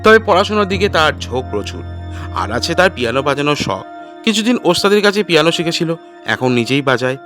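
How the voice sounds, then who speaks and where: A man narrates a scripted detective story in a fairly high, very lively voice that rises and falls often. His delivery is literary and measured, with music playing underneath.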